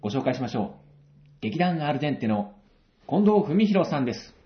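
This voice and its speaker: A man's voice: a little high, sing-song, brisk. A man hosting a radio advice segment, interviewing politely and encouragingly with frequent attentive responses. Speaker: radio show host. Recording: dull lo-fi audio.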